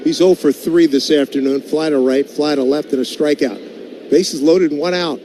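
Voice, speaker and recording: A male baseball radio announcer with a high-pitched voice delivers live play-by-play in short, clipped phrases, ready to lift into an excited call. His voice sits over clearly audible stadium noise, as on a live ballpark broadcast. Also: moderate pace. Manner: clipped phrases, calls rising to excited shouts on big plays. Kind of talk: live sports play-by-play commentary.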